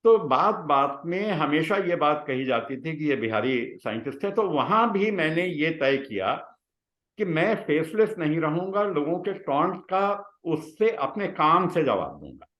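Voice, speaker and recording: An older man shares reflective personal stories. His voice sits a little high and moves with animated swings of pitch, broken by halting pauses. The room adds a noticeable echo. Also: muffled recording. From Bihar, India. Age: 60s. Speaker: guest, retired scientist. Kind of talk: reflective personal storytelling in conversation. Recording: roomy, echoing sound.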